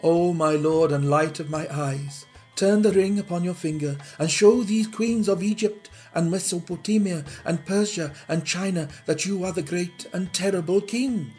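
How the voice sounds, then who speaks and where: A man's voice: high voice, moderate pace, darkish tone, faint music behind, voice pushed up, lightly acted. A man voicing a devoted young queen in a storybook reading, tender and earnest. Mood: pleading.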